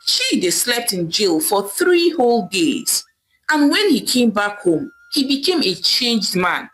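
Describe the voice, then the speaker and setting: A woman narrating a scripted folktale in an expressive, dramatic storytelling manner, with emphatic pauses. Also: a little high, rough recording.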